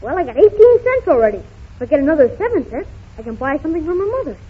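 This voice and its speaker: A woman's voice: high-pitched, sing-song, fast. A woman playing a poor, polite young newsboy with artless street-kid speech in a 1930s radio drama. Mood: artless, plucky. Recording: muffled 1930s radio recording.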